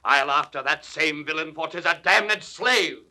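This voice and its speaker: A fairly high, very sharp commanding officer. He calls out urgent orders with a gravelly edge, declaiming in 1940s classical audio drama style. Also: a little slow, exaggerated voice.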